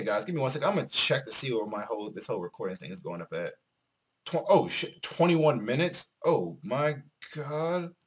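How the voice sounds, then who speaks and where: A man's voice: medium pitch, fairly bright tone, husky. A man giving casual let's play commentary, rambling and repeating himself as he talks to his viewers. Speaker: video game streamer.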